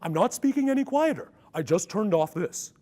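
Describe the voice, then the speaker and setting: A man presenting a music education workshop to a live audience, with a ringy, resonant voice and an energetic coaching manner. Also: high-pitched, moderate pace. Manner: energetic, coaching the audience through vocal exercises. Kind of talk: conference workshop talk. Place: conference room with a live audience.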